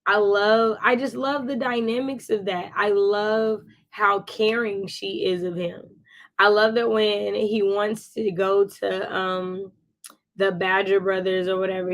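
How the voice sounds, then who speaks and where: Woman, medium pitch, casual book chat, effusive, emphatic repetition, co-host. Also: steady tone, measured pace. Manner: effusive, repeats phrases for emphasis.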